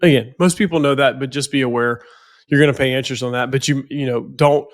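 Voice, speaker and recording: A man's voice: medium pitch, fairly even intonation, fast talker. A financial planner with a head cold, sounding nasal, talking casually on a podcast and often repeating words as he goes.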